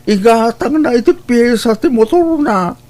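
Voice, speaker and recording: A man's voice: very high-pitched, animated, unhurried, darkish tone. A man with an Ilocano Filipino accent playing a worried, weary old father in an audio drama, plaintive and sighing.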